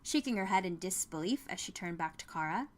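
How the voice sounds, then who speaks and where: A woman reading scripted fiction as an expressive audiobook narrator. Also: lowish voice, brisk. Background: music.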